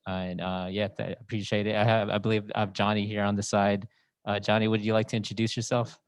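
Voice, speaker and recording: A man, a teacher, introducing himself on a video call in a hesitant way with many pauses. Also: on the low side, little pitch movement, clear, resonant tone, dry recording. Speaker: high school teacher.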